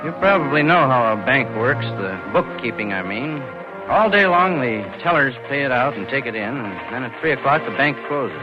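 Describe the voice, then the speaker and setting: An actor playing a cold-blooded, scheming young bank clerk who narrates in cynical, slangy 1940s radio noir style. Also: male voice, medium pitch, lively intonation, normal speed, natural voice. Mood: cynical, confiding. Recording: dull lo-fi 1940s radio, loud music.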